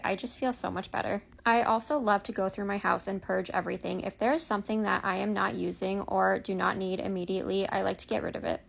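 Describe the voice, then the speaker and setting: A woman speaks in a casual voice-over for a home organizing video. Her pitch sits in a middle range, with a strong creaky fry running through her speech. The delivery is everyday and conversational.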